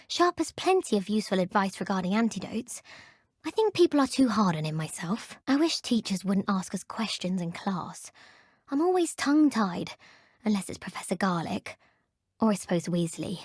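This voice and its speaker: Candid student witch for a game; soft-edged husky tone, opinionated musing, dry. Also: mid-range voice, very animated, moderate pace, no room sound. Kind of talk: video game character dialogue.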